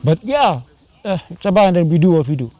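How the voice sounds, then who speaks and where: A man's voice: sits high, animated, a little fast, mellow. A man chatting casually in an interview, rambling and slipping into Swahili slang. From Kenya. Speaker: band singer and drummer.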